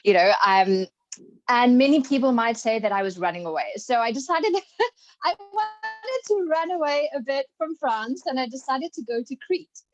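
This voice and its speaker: A woman's voice: sits high, moderate pace, no room sound, highs cut off, faint music behind. A woman born in Zimbabwe and raised in South Africa, with a mixed South African and British accent, telling stories in a motivational talk with laughing asides. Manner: storytelling, animated, laughing asides. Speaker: speaker.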